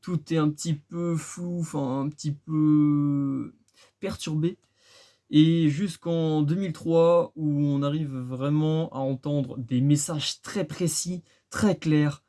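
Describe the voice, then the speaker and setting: A man's voice: a little high, steady tone, slow pace, warm tone. A man giving a casual explainer, conversational, with rhetorical questions and phrases repeated for emphasis. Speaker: presenter of a radio-hobby video.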